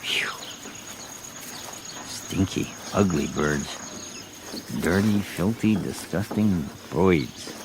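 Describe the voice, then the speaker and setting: An actor playing a wry, bantering American adventurer in a naturalistic radio drama, musing and wisecracking. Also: male voice, deep voice, very slow, long pauses, a little hiss or hum, exaggerated voice. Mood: disgusted musing. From United States. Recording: radio play with background ambience.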